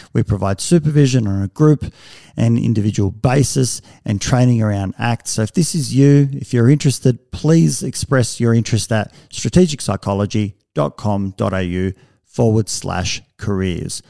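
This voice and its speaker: Male host, low and gravelly, halting, chatty delivery. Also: mellow, no echo, studio quality. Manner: hesitant, many restarts and fillers. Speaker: podcast host. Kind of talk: casual interview.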